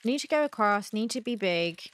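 A woman's voice: mid-range voice, animated, normal speed, a bit bright, slight background noise. A young adult woman giving casual playthrough commentary into a close desk mic, thinking aloud and reacting as she plays. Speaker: gaming video host. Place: small room at home, indoors. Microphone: close desk microphone on an arm.

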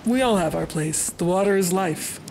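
A woman playing a wise, philosophical female minotaur warrior in a fantasy audio drama, speaking in a measured way. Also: on the low side, creaky, darkish tone, slight background noise, rough recording. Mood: warm, philosophical.

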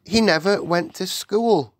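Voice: mid-range voice, a little slow, dry recording, clean studio sound, pitched above the performer's own voice, close to an everyday voice. A storybook reader voicing a polite, eager little girl. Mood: apologetic.

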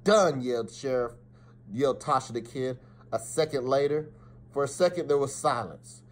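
A man's voice: medium pitch, normal speed. A middle-aged man reading a children's story aloud expressively, with dramatic emphasis and the odd stumble. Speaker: school principal reading aloud. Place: small office, indoors. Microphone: phone or webcam at arm's length.

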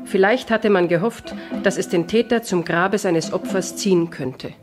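Woman acting solemn news reporter, medium-pitched voice, measured scripted narration, radio play, music behind. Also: lightly acted. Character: solemn female newsreel-style reporter narrating events.

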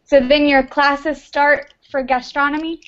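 A young woman with a Texan accent teaching a beginner English class over a webcam video call from her bedroom, speaking clearly and encouraging her students. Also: high voice, steady tone, unhurried, fairly bright tone. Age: young adult. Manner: encouraging, repeats student answers, laughs often. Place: bedroom at home. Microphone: laptop webcam on video call. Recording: dull lo-fi video call audio.

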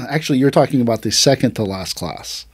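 A man's voice: medium pitch, expressive, a little fast, husky, no echo. Dry-voiced man, chatty aikido teacher. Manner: loose storytelling with many fillers, acts out quotes. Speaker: aikido teacher and podcast host. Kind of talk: casual podcast conversation.

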